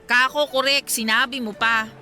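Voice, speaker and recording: A woman's voice: on the high side, fairly even intonation, normal speed, pushed and bright, soft background music. An actress playing a young Filipino single woman secretly in love with her friend, bantering casually in a radio drama. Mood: wistful. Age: young adult.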